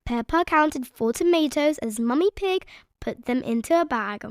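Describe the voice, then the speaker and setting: A woman narrating a children's read-aloud story in an expressive, measured storytelling manner. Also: high voice, no room sound, studio quality.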